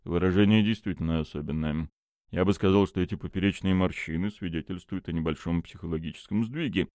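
A man's voice: low-pitched, fairly quick, a little mellow, dry recording, clean studio sound, lightly acted. A man voicing a haughty, self-assured expert in criminology for an audiobook, lecturing with a pompous drawl. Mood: dismissive. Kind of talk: audiobook character acting.

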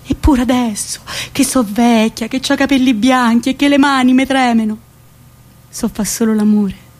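A woman's voice: a little high, steady tone, normal speed, a little mellow, lightly acted. A woman playing an old village grandmother with a central Italian accent, telling her memories in a plain, reflective, colloquial theatrical monologue. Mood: wistful, resigned.

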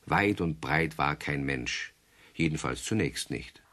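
A man narrates a children's radio play like a measured storyteller. His voice is very deep and moves a lot in pitch. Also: expressive, fairly bright tone, slight background noise. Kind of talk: scripted narration.

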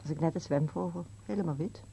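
An actress playing a nagging, wistful old wife in a Dutch radio play, terse and insistent, with a husky voice. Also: female voice, low-pitched, fast, warm tone, a little hiss or hum. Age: older.

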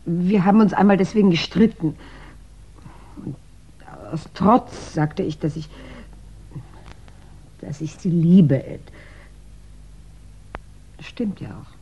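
Husky, devoted, anxious young woman. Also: lowish voice, slow, pauses often, warm tone, exaggerated voice. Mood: vulnerable. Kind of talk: 1960s German radio crime play acting. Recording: dull 1960s radio recording.

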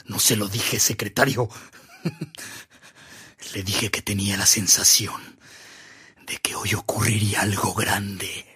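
An actor playing a hot-tempered, vindictive governor in a radio drama, barking orders theatrically in a gravelly, husky voice. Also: male voice, fairly low pitch, takes it slowly, long pauses, pushed and bright, heavily acted. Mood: gleeful, menacing. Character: hot-tempered, vindictive state governor, gloating over violence.

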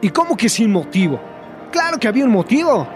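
A man's voice: high voice, big pitch swings, fairly quick, over music. A man with a Bolivian accent playing a cocky, domineering teenage bully in a radio drama, taunting and slangy. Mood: defiant, possessive. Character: cocky, bullying, possessive teenage gang leader.

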